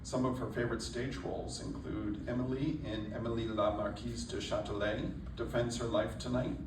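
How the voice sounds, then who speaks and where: A man's voice: medium pitch, recorded from a distance. A man acting as host, reading a scripted introduction at a live stage event. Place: indoor stage before a live audience. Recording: echoey hall from off, clear background noise.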